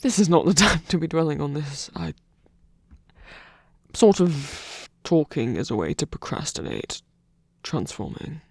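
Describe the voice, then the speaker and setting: Casting: male actor as insecure, tender trickster god for ASMR audio roleplay; very slow, hesitant, breathy murmur with creaky edges, close-miked. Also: fairly high pitch, very animated, no echo, exaggerated voice. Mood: nervous, procrastinating. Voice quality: breathy, whispery. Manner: hesitant, halting, stammering. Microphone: close microphone, dry.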